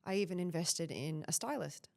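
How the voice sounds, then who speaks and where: A woman's voice: fairly low pitch, normal speed, creaky. A woman in her thirties with an Australian accent, a guest on a podcast, speaking confidently and directly. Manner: confident, direct, animated storytelling. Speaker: personal branding guest. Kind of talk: casual interview chat.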